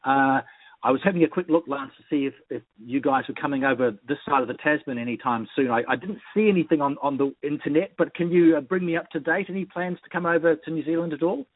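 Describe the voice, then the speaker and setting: A man interviewing a musician on the radio, asking questions in a brisk, friendly way. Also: fairly high pitch, slightly rough, no echo. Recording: dry phone-like radio line.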